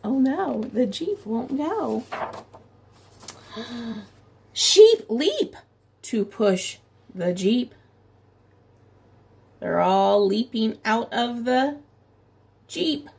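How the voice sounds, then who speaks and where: A middle-aged woman reads a picture book to small children in a fairly high voice with noticeable vocal fry. Her pace is slow, with story phrases drawn out expressively and simple explanations added like a preschool teacher. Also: lively intonation, darkish tone. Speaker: preschool teacher reading aloud. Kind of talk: picture-book read-aloud for young children. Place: small room at home, indoors by a window.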